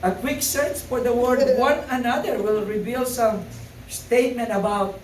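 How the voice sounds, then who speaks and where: A man's voice: very high voice, normal speed, slight background noise. A man preaching a sermon, hesitant with many fillers, then building to emphatic repeated phrases. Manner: hesitant with frequent fillers, rising to emphatic repetition. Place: church sanctuary. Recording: echoey room, voice from far off.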